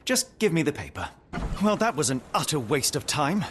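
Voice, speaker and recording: A brusque, high-pitched arrogant detective. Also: male voice, big pitch swings, moderate pace, a bit bright, a little hiss or hum. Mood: impatient. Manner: brusque, articulate, pompous. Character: sharp, arrogant, restless young male consulting detective. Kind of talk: video game cutscene acting.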